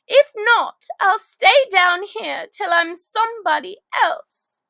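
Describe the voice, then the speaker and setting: A very high, plaintive little girl. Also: creaky, sharp tone, no room sound, full character voice. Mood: stubborn, indignant. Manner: exaggerated, plaintive, drawn-out. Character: Alice, distressed young girl, dramatic and tearful. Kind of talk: children's storybook character acting.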